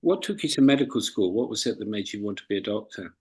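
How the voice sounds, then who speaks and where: A man hosting a live online interview over a video call, hesitant and often rephrasing himself mid-sentence, with a husky voice. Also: fairly low pitch, lively intonation, quick pace, a little mellow. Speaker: interviewer and host of an online forum.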